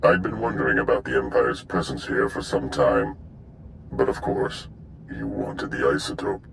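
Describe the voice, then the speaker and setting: An immensely deep, gravelly Hutt spymaster. He speaks slowly and grandly, smug in his menace, every phrase rumbling with creak. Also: animated, a bit bright, a little hiss or hum, exaggerated voice. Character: menacing male Hutt crime lord and spymaster. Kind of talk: video game villain acting.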